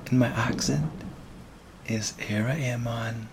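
A slightly hoarse man with an Irish accent, whispering softly with gentle chuckles in an ASMR boyfriend roleplay. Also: medium pitch, takes it slowly, slight background noise.

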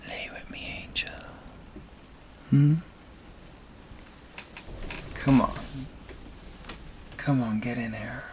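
Man, Irish accent, soft whispers, slightly hoarse, gentle chuckles, drawn-out unhurried delivery. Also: mid-range voice, fairly even intonation, slight background noise. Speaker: ASMR roleplayer. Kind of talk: ASMR boyfriend roleplay.

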